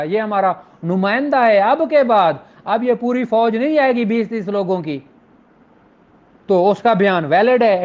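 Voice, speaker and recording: A man with a Pakistani accent lecturing in an informal, didactic way, reading out formal text and explaining it, mixing in English terms. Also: high-pitched. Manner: didactic, informal, switches between Urdu and English legal terms. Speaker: law lecturer. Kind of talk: explanatory lecture, reading statutes aloud then explaining them. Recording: dull lo-fi audio.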